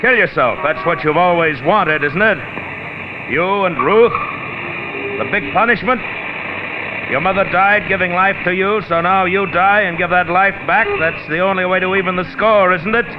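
An actor playing a calm, authoritative doctor who talks steady and commanding. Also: male voice, high-pitched, moderate pace, close to an everyday voice. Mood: stern. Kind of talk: 1940s melodrama acting. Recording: noisy old film soundtrack.

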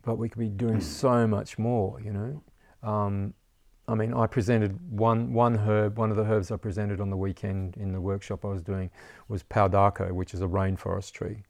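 Male herbalist, low gravelly voice, dry close mic, rambling casual talker. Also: little pitch movement, normal speed, a little mellow, dry recording. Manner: rambling, repeats words, says "you know" often. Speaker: herbalist teacher. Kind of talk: casual interview chat.

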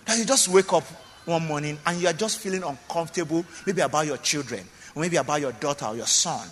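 Pastor, man, high and forceful, emphatic sermon delivery in a reverberant church hall. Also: fast talker, a little hiss or hum. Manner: emphatic preaching with repeated phrases and questions to the congregation.

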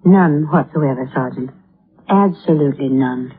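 An actress in a 1950s radio drama playing a reserved, worried, middle-aged well-to-do wife, speaking in a guarded, formal, hesitant way. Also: female voice, a bass voice, unhurried, slight background noise. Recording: muffled, narrow-band old radio broadcast.

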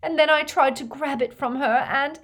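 Woman acting distraught teenage girl, high voice, tearful, sobbing, storybook character. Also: fairly even intonation, normal speed, fairly bright tone. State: crying.

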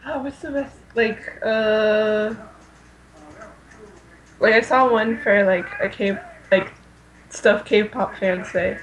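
A woman's voice: mid-range voice, fairly even intonation, takes it slowly, pauses often, rough recording. A young woman chatting on a podcast in a slangy, informal and enthusiastic way, peppering her talk with filler words. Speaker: podcast panelist. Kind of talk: casual slangy fan chat.

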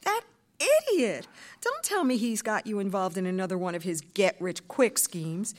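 An ambitious, busy wife and mother, acted by a woman with a medium-pitched voice. Her intonation is lively and expressive, with an easily exasperated temper, delivered as live audio drama. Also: normal speed, lightly acted. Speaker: working mother. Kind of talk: live audio theatre acting.

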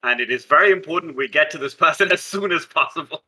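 A woman's voice: low-pitched, animated, fast, sharp tone, low-quality recording. A woman playing a tabletop roleplaying game, talking casually and narrating what a character does.

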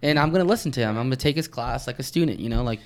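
A man's voice: medium pitch, rapid-fire. A man in his thirties who runs a dance studio, chatting casually and loosely with lots of fillers. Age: 30s. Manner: relaxed, filler-heavy talk. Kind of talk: casual chat.

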